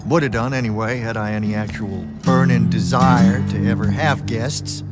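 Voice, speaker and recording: A man's voice: lowish voice, steady tone, normal speed, natural voice. A man playing a cranky, folksy older recluse in a video game, grumbling with a drawling manner. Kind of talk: video game cutscene acting. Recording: very loud music over the voice.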